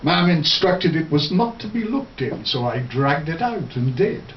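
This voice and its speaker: A man reading poetry live in a theatrical, deliberate way, recorded at a distance in a bar. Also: a little high, sing-song. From Wales. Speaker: poet. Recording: roomy, distant, muffled, faint noise.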